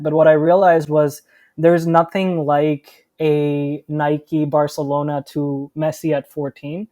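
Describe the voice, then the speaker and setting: Young man from India, mellow and flat. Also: a little high, dry recording. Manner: chatty, with frequent fillers and restarts. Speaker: startup founder guest. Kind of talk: casual interview.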